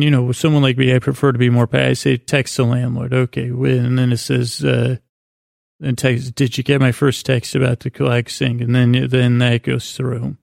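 Very gravelly, rambling male podcast host. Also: medium pitch, dry recording, studio quality. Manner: meandering, halting, stammering and doubling back on phrases; self-deprecating. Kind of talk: rambling bedtime monologue.

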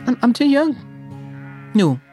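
A defiant young Scottish shepherd, played by a woman, stubborn and anxious as a reluctant prophet. The delivery is lively, with big swings in pitch, in a comedic audio drama. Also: a little slow, soft background music. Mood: exasperated. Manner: defiant, exasperated.

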